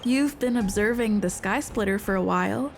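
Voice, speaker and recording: A woman's voice: medium pitch, moderate pace, gravelly, a little hiss or hum, lightly acted. An actress playing a woman who probes a guard with pointed questions, in a game cutscene. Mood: probing. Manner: probing, pointed. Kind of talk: video game cutscene voice acting.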